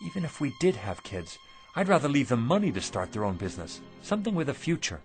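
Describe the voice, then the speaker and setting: An actor playing a kindly, generous older shopkeeper and husband in a radio drama, with a folksy manner. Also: male voice, medium pitch, sing-song, fast talker, faint music behind. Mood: content, affectionate.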